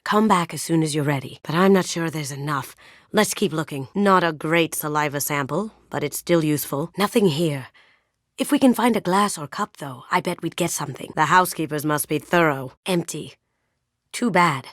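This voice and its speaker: A confident young female thief from a video game, speaks in a low feminine register. She is sly and playful, with a hushed, self-assured manner. The sound is dry, with no room on it. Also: normal speed, no room sound. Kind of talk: game character voice lines.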